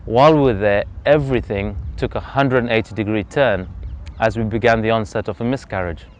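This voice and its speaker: A man, telling his personal story as an interview guest outdoors in earnest, reflective, measured speech. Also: on the low side, a little hiss or hum. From Zambia. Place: outdoors on a freezing day. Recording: slightly dull lo-fi outdoor recording.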